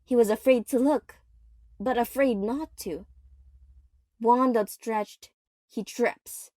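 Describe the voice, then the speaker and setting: A woman narrating an audio play in a theatrical, suspenseful manner, pausing for effect. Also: a little high, dark tone, no echo, clean studio sound. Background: music.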